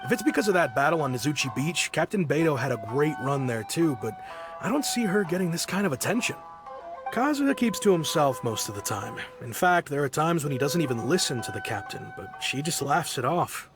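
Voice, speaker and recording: A laid-back, laughing young sailor. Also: male voice, a little high, a little fast. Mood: friendly. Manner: friendly, laughing, relaxed. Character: easygoing young male sailor of a fleet. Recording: game audio with music behind. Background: game music.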